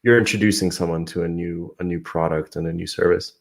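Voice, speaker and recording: A man's voice: low-pitched, fairly even intonation, a little fast, vocal fry. A man from San Francisco, a digital marketer, explaining his work as a guest in a casual video-call interview. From San Francisco, United States. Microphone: podcast-style microphone.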